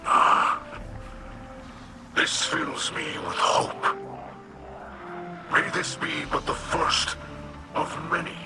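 A man voicing a solemn, noble and fatherly alien leader in a video game, gravelly and formal, choosing words deliberately. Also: fairly high pitch, big pitch swings, exaggerated voice. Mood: moved, hopeful. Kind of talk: scripted video game dialogue. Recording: music under the voice.

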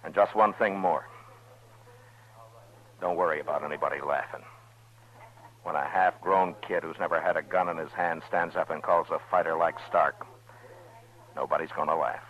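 An actor playing a stern, weary frontier marshal in 1950s radio western style, commanding and grave. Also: male voice, rumbling low, fairly even intonation, normal speed, pauses often. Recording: dull vintage radio recording with music.

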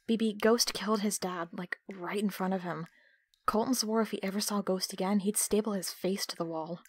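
Casting: actress as reproachful female captive for audio drama, breathy mid-range voice, very dry sound. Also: medium pitch, fairly even intonation, normal speed, a little mellow, no room sound, close to an everyday voice. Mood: grim recollection. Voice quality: husky, breathy. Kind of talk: audio roleplay drama acting.

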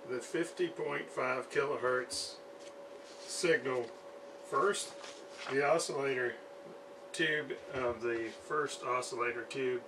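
Male hobbyist demonstrator, unhurried and hesitant, off-mic with some faint background noise. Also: mid-range voice, recorded from a distance. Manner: halting, hesitant, thinking aloud. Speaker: radio restorer, video host. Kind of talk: casual hands-on demonstration talk. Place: indoor home workshop at a desk.

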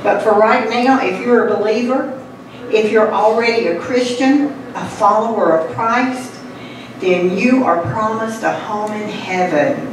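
A woman's voice: medium pitch, unhurried. Woman hosting a church puppet show, preaching to the audience, way off from the mic in a super echoey room w/ noise. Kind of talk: evangelistic talk to an audience. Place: hall indoors, recorded from the audience. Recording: distant, echoey, noisy room recording.

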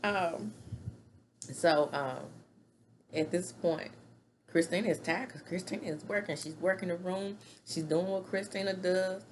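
Middle-aged woman, opinionated casual recap, lowish voice, webcam at home, faint room hum. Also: unhurried. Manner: chatty and opinionated, hand gestures. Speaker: reality TV recap host. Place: small room at home, indoors. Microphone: webcam at desk distance.

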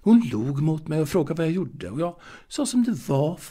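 A man's voice: on the high side, big pitch swings, mellow, slightly rough. A man playing a proud, naive young confectionery seller reminiscing in an intimate audio drama monologue, hesitant and repeating his words. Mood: earnest.

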